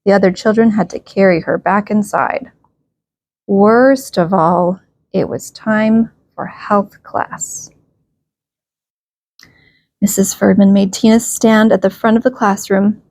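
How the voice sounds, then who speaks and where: A woman reading a children's bedtime story aloud, expressively and with emphatic, drawn-out phrases. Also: mid-range voice, fairly even intonation, darkish tone.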